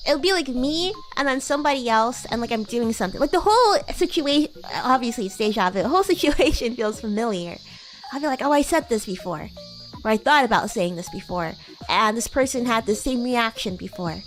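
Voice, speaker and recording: A woman's voice: fairly high pitch, lively intonation, fairly quick, soft background music, low-quality recording. A woman VTuber chatting casually on a livestream in a girlish, rambling, conversational way. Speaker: VTuber streamer.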